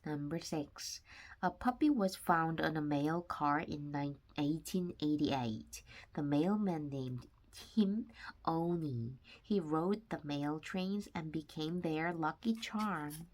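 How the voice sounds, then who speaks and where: Woman, low pitch, slow pace, children's book read-aloud, deliberate phrasing. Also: darkish tone.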